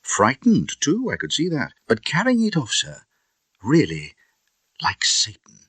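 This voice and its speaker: A man voicing an indignant Victorian gentleman with a husky British voice, telling a grim tale in an emphatic, theatrical audiobook style. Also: medium pitch, wildly swooping pitch, unhurried, dry recording, pitched above the performer's own voice, heavily acted. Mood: vivid, indignant. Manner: emphatic, theatrical, conversational asides. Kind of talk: dramatised audiobook storytelling.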